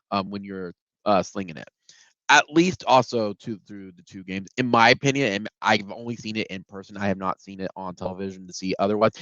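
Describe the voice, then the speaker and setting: A man's voice: fairly low pitch, fairly bright tone, no echo, lo-fi sound. Stuffed-up man recapping a game. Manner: conversational, many ums and restarts. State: stuffed up from a head cold. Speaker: sports podcast host. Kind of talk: casual solo game recap.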